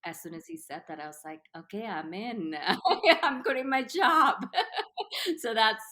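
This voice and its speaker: A woman in her thirties from Sri Lanka, answering interview questions in a measured, thoughtful way with frequent "uh" pauses. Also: fairly high pitch, animated, pushed and bright. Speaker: company founder, guest.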